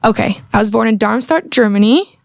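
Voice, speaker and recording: A woman's voice: on the high side, expressive, a little fast, vocal fry, no echo. A woman in her late twenties from California, a dietitian guest on a podcast, speaking in a friendly, slightly nervous way. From Bay Area, California. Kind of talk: podcast interview. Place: clinic office.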